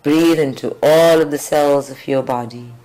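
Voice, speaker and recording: A woman leads a guided group meditation with deliberate, measured phrasing and long pauses. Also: low-pitched, mellow, low-quality recording. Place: group meditation at a creativity centre. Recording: live recording with music behind. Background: faint music.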